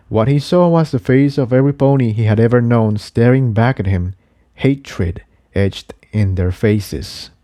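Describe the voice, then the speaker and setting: A man narrating a scripted adventure story in a measured, dramatic storytelling manner. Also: mid-range voice, mellow, dry recording, clean studio sound. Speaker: narrator, fan fiction reader.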